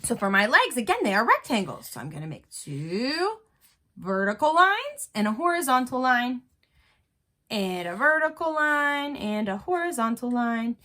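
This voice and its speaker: A woman's voice: fairly high pitch, very animated, moderate pace, pushed and bright. A woman art teacher talking young children through a step-by-step drawing tutorial in simple, encouraging phrases. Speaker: elementary school art teacher.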